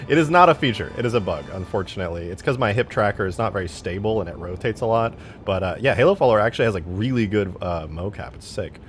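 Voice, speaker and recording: A man's voice: fairly low pitch, animated, fast talker, few pauses. A man livestreaming in a casual, slangy way, reading out viewer comments and talking with self-deprecating bluntness and some swearing. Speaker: live streamer.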